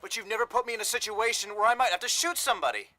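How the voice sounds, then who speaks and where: An actor playing a plain-spoken, earnest young hired thug in a 1930s radio drama. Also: male voice, high voice, racing, bright, forceful tone, no echo, faint music behind. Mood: protesting, defiant. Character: tough, earnest young male hired thug, devoted expectant father.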